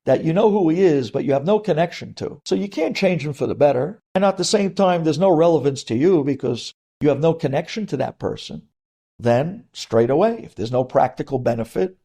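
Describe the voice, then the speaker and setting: Male rabbi for a religious class; warm, rounded tone, slightly high pitch, teaches emphatically with examples and rhetorical questions. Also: expressive, fairly quick, husky. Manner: emphatic, didactic, repeats key phrases and poses questions. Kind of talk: sermon-like religious lecture with anecdotes and examples.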